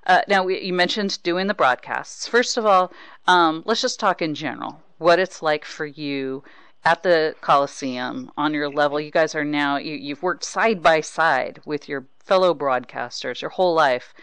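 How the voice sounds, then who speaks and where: A woman's voice: deep voice, normal speed, fairly bright tone, clean tone, no echo. A woman hosting a casual sports interview, conversational and openly approving in the way she talks. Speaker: sports podcast host.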